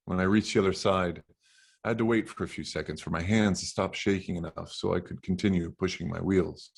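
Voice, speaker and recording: Low-voiced man reading literary fiction. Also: fairly even intonation, brisk, gravelly, husky. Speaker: author reading from own novel. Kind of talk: literary audiobook-style reading.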